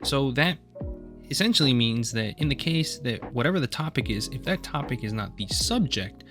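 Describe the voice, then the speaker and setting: A man's voice: medium pitch, normal speed. Laid-back young man presenting a project. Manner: relaxed, self-deprecating asides. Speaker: student presenter. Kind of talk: casual class project voice-over. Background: faint guitar music.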